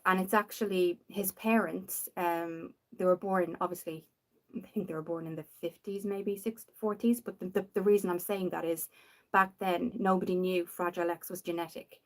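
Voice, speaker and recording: A young woman from Dublin with a softened Irish accent, rambling casually while hosting a makeup livestream and answering viewers. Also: medium pitch. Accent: Dublin Irish, softened after years in the UK. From Ireland. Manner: chatty and rambling, reads out viewer comments.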